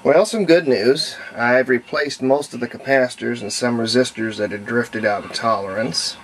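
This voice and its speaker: Male hobbyist restorer, mid-range voice, casual and halting folksy narration at a workbench, faintly noisy handheld camera sound. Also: mid-range voice. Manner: halting, thinking aloud, folksy wording. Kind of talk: casual hands-on repair commentary. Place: indoor workbench.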